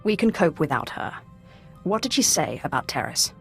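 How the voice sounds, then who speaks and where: An actress voicing a commanding, cool female dark sorceress in a video game, formal and imperious. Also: low voice, big pitch swings, normal speed, gravelly, full character voice. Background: game music.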